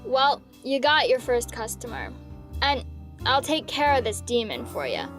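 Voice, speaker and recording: An actress playing a world-weary, independent demon hunter in a scripted audio drama, dry, blunt and sardonic. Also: female voice, fairly high pitch, big pitch swings, unhurried, fairly bright tone. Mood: dry, confident. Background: music.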